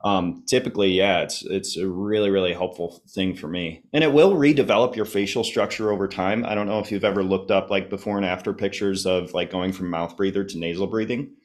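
A man chatting casually as a podcast guest, informal and explanatory, his voice slightly hoarse and a little congested. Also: low-pitched, brisk, few pauses. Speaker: podcast guest, health enthusiast. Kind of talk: casual conversational interview.

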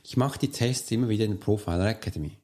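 Hoarse low-voiced man coaching the listener. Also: racing, a little mellow. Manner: earnest, speaks straight to the listener. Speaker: coach and podcast host. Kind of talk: solo motivational podcast monologue.

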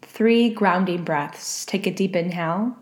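A woman leading a guided meditation, giving calm instructions with long pauses. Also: fairly low pitch.

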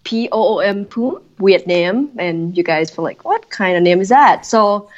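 A woman's voice: medium pitch, expressive, a little slow, lo-fi sound. A woman in her thirties from Thailand with a Thai accent, chatting casually as a podcast guest, with lots of fillers. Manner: chatty, with many fillers and non-native grammar. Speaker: guest graphic designer. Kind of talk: casual interview chat.

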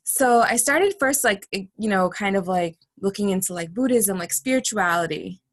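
A woman's voice: mid-range voice, moderate pace, no room sound. A woman speaking casually and informally as a guest in an interview, peppering her talk with filler phrases like "like". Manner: informal, frequent "like" and "you know". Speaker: podcast guest, artist and crystal healer.

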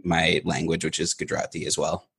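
A Canadian man in his thirties, a nutrition researcher guest, speaking in a measured way with short pauses. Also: a bass voice, level tone, vocal fry, a bit bright, breathy edge. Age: 30s. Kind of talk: casual interview conversation.

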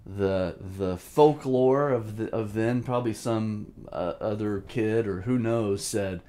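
Male drum teacher, 50s, lowish voice, informal and reflective, leans on repeated phrases for stress. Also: takes it slowly, mellow. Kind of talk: informal lesson with personal reminiscence.

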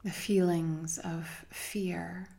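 A woman leading a guided meditation in a measured, hushed manner with long pauses between phrases. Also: lowish voice, level tone, gravelly. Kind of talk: slow guided meditation narration.

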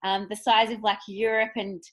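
A woman's voice: mid-range voice, little pitch movement, moderate pace. A woman hosting a podcast, enthusiastic and welcoming. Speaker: podcast host.

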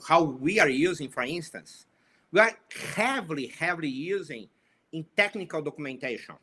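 A man with a mixed Italian and Portuguese accent talks with eager enthusiasm, often stumbling and restarting his phrases. His voice sits a little high, with a bright, forceful edge. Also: expressive, normal speed, husky. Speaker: panel guest. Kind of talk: casual discussion.

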